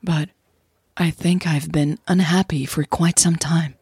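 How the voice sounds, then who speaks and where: A woman acts out a young woman lover in a romantic audio drama. Her voice is low and husky, and she talks slowly, with hesitant, candid sincerity. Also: steady tone, a little mellow, dry recording, clean studio sound, exaggerated voice. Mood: candid, earnest.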